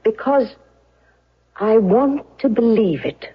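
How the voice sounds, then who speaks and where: A woman's voice: fairly high pitch, animated, slow pace, breathy edge, exaggerated voice. An actress playing an insistent woman who fiercely believes in someone's innocence, delivered emphatically in 1970s radio drama style. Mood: fervent insistence. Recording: dull old radio broadcast.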